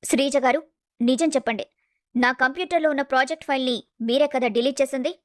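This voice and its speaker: Composed young professional woman, played by an actress: high pitch, courteous but firm, for a dramatized family story. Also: steady tone, no room sound, clean studio sound, lightly acted. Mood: firm, questioning. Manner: polite, measured, firm. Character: Geeta, composed, principled young office woman.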